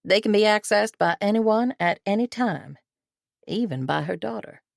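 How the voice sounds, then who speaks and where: A woman reading the part of a formidable older female intelligence director in measured, composed tones. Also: medium pitch, lively intonation, vocal fry, no room sound, studio quality, pitched above the performer's own voice. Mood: authoritative. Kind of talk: audiobook character reading.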